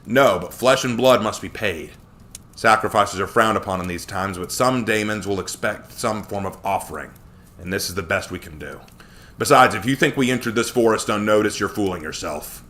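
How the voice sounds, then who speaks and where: A man's voice: fairly low pitch, normal speed, fairly bright tone, natural voice. A man voicing a stern, commanding male guide who gives curt orders in a dramatic fantasy story. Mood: stern warning.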